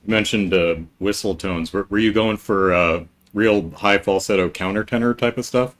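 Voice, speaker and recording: Male podcast host, very low voice, casual, meandering. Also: normal speed. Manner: rambling, many ums and restarts. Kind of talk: casual live-stream interview.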